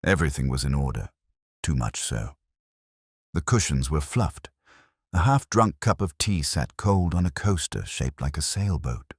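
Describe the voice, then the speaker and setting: Very deep male mystery narrator. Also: lively intonation, a little slow, husky, no room sound, studio quality. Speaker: audiobook narrator. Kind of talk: scripted mystery story narration.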